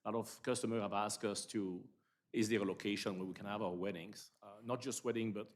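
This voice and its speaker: A man from Belgium with a Belgian accent, a business owner presenting his plans at a town planning meeting, halting and repeating words. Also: on the low side, steady tone. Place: council meeting room.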